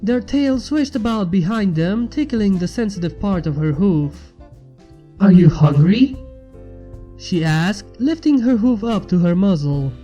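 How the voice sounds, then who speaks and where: A woman narrates a story from a script in a mellow, relaxed voice of medium pitch. Faint music plays behind her reading. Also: measured pace, few pauses. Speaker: fan fiction reader.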